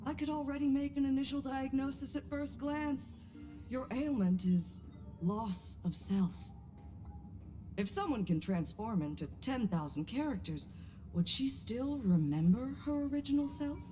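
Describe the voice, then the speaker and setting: A woman voicing a teasing, smug female doctor in a video game, speaking in a playful, theatrical and deliberate way. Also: high voice, warm tone. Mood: smug, probing. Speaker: game character voice actor. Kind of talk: video game character acting. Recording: background music under the voice.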